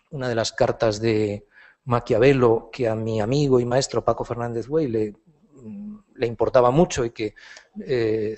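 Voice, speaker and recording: A man in his fifties from Spain, a philosophy professor answering audience questions after a lecture, thoughtful and halting. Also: medium pitch, darkish tone. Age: 50s. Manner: thoughtful, halting, hedged phrasing.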